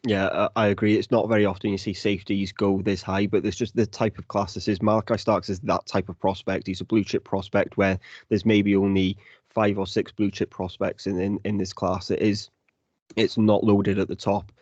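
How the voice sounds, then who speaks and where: Male panelist, monotone and low, blunt takes, dry dull recording. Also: moderate pace, no echo. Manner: blunt, opinionated. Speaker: draft analyst, co-host. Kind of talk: casual panel discussion.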